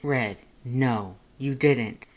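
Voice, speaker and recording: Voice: medium pitch, drawn-out words. A performer voicing an affectionate, flirty male skeleton monster who soothes and coaxes with pet names in a fanfiction audiobook. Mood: reassuring. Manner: purring, murmuring, coaxing with endearments.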